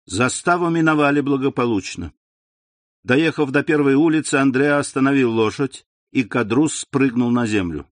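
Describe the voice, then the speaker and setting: A man's voice: mid-range voice, moderate pace, no room sound. Man narrating a novel, dry and close. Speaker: audiobook narrator.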